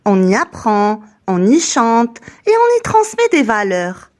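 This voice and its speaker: A woman's voice: a little high, wildly swooping pitch, unhurried, darkish tone. A woman voicing a cheerful, knowledgeable young girl who explains things enthusiastically in a scripted children's educational cartoon. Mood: instructive.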